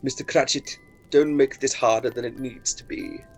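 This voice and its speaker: An actor playing an older, effusively generous Victorian gentleman in a theatrical radio play style. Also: mid-range voice, steady tone, moderate pace, close to an everyday voice, poor audio. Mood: troubled.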